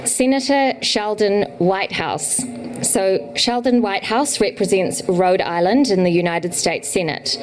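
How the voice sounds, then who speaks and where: A woman from New Zealand with a New Zealand accent, hosting a conference session and introducing the keynote speaker in a measured, welcoming way. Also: mid-range voice, poor audio. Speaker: event host. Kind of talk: formal event introduction.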